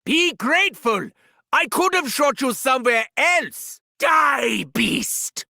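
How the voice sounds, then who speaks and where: An actor playing a gleefully sadistic mad scientist doctor with a German accent, in a video game, gloating theatrically with cackling and shouting. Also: male voice, very high-pitched, lively intonation, slow, vocal fry, dry recording, studio quality, exaggerated voice. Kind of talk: video game character barks.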